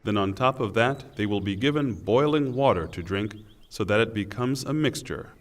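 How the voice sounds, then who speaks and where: A man reading a scripture translation in a solemn, measured and deliberate way. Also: on the low side, lively intonation. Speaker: translation reader. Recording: long hall reverb.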